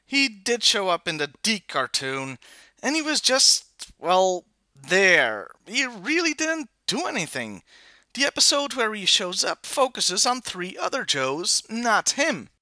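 A man's voice: high voice, sing-song, a little slow, sharp tone, dry recording. A man hosting a scripted review in a wry, opinionated manner. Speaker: toy review host.

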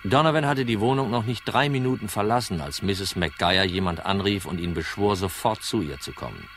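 An actor playing a cool, composed insurance detective with dry irony in a crime radio drama. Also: male voice, fairly low pitch, moderate pace, a bit bright, soft background music, natural voice. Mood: matter-of-fact. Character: cool, wry male insurance detective narrating his case. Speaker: actor, narrator and lead. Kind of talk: German crime radio drama, first-person narration.

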